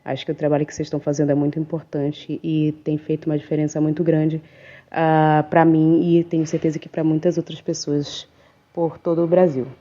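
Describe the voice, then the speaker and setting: A woman's voice: deep voice, little pitch movement, a little fast, dark tone, lo-fi sound. A woman psychologist from Amapá, Brazil, speaking formally and thankfully in a recorded message.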